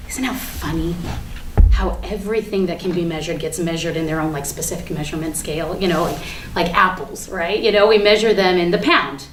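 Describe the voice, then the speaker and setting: A woman playing a defiant, foul-mouthed suspect under questioning in a staged monologue, rambling in a chatty, sarcastic, halting way. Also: fairly low pitch, distant mic, natural voice. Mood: rambling, self-conscious. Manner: chatty, sarcastic, halting, breaks into nervous laughs. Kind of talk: dramatic monologue in a staged reading. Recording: echoey room with faint background noise.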